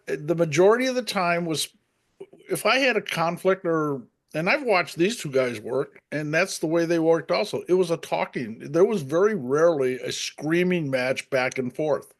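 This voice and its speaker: A man's voice: fairly high pitch, expressive, moderate pace. A middle-aged man, a retired umpire, chatting casually and telling stories with frequent restarts. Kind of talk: casual chat.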